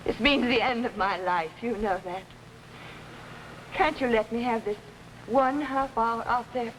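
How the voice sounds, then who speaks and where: An actress playing an ambitious, unbalanced young pianist and murderess in theatrical 1950s television melodrama style. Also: female voice, fairly high pitch, a little slow, slight background noise, lightly acted, low-quality recording. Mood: pleading, anxious. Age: young adult. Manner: intense, theatrical. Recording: old 1950s TV soundtrack, music behind.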